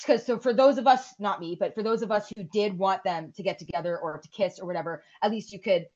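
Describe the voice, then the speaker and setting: A woman's voice: mid-range voice, fast talker, darkish tone, highs cut off. A woman in her forties, co-hosting a podcast and chatting casually, rambling and swearing freely. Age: 40s. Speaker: podcast co-host. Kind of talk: casual chat.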